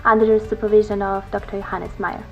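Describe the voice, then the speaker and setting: A young woman from Croatia, a PhD researcher giving a measured, scripted-sounding video testimonial. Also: medium pitch, little pitch movement, a bit bright, faint music behind. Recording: slightly dull lo-fi audio.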